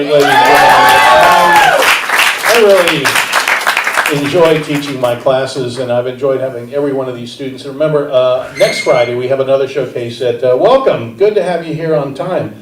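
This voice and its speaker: A man hosting a live stand-up comedy show, introducing comics with wry, deadpan jokes from the stage. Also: mid-range voice, background noise. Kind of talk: stand-up emcee set. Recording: roomy live theater, mic at a distance, crowd. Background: audience laughter.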